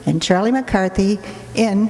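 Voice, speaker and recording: A woman's voice: mid-range voice, measured pace, a little mellow. A woman presenter reading a scripted introduction to a radio play. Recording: echoey hall, long reverb.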